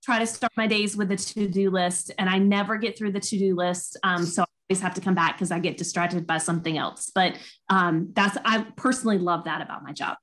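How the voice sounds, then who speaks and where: A woman's voice: on the low side, steady tone, fairly quick, fairly bright tone. A woman in her forties casually explaining her job as a panelist on a video call. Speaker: panelist, agent. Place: indoors, home room.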